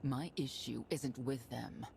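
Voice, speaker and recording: An actress playing a hardened, no-nonsense knight in a sci-fi video game, blunt and stern, with a gravelly voice. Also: female voice, a bass voice, slow pace, heavily acted. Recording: very noisy battle-filled game audio.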